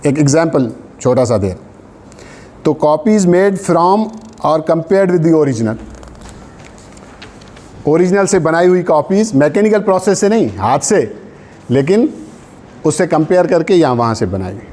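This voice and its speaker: Slow, mellow Pakistani man lecturing. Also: on the high side, lively intonation. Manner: didactic, checks understanding with short tags. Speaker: law lecturer. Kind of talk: classroom lecture on law, reading out and explaining. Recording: faint background noise.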